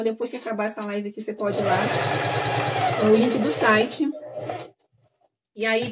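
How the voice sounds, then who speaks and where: A woman's voice: on the high side, very noisy. Chatty woman teaching sewing live. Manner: chatty, addressing viewers as girls; talks while sewing, with trailing pauses. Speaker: sewing instructor.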